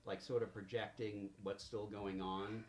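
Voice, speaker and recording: A man improvising narration as game master of a tabletop game, in a halting, drawn-out way with pauses. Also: lowish voice, little pitch movement. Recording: faint background noise.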